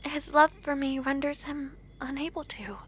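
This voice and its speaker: A woman playing a gentle, earnest young princess in a romantic audio drama, speaking softly in formal, hesitant phrases. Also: high voice, level tone, normal speed, a little hiss or hum, lightly acted. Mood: earnest, tender.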